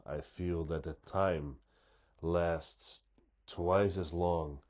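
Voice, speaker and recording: A man with a stuffy nose giving an unscripted, reflective monologue, speaking deliberately and often restarting his sentences. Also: low voice, steady tone, darkish tone, highs cut off. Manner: slow, deliberate, thoughtful, with frequent restarts. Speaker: pipe-smoking vlogger.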